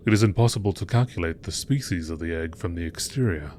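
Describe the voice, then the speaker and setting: A man's voice: low voice, fast talker, a little mellow, a little hiss or hum. A man reading scripted narration in the style of a nature documentary. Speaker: narrator reading game lore texts.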